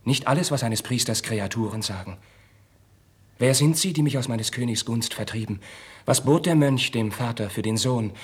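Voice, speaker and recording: An actor playing an impassioned, proud young Spanish crown prince in a 1950s German radio drama, with declamatory, theatrical diction and a slightly husky voice. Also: male voice, mid-range voice, normal speed, lightly acted. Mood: earnest, pleading.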